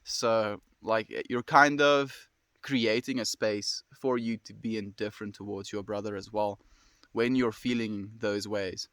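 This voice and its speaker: A man in his twenties with a South African accent, chatting casually and fast with viewers on a livestream. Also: lowish voice, steady tone, fairly bright tone, clear, resonant tone, dry recording, studio quality. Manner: fast-talking, friendly, reads and answers comments.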